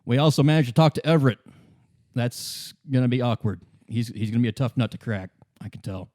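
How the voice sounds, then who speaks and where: A man voicing a calm, methodical male police detective in a tabletop roleplay, dry and matter-of-fact. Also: mid-range voice, fairly quick, dry recording, natural voice. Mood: measured recap.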